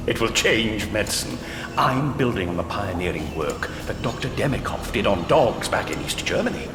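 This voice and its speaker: Mad scientist villain: grandiose, mock-polite, hugely animated delivery, big echoing hall. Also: male voice, fairly low pitch, moderate pace, noisy background. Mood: manic pride. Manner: theatrical, pompous, mock-courteous. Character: grandiose mad surgeon villain, gleefully proud of his work. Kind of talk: audio drama acting. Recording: echoey hall reverb with loud music.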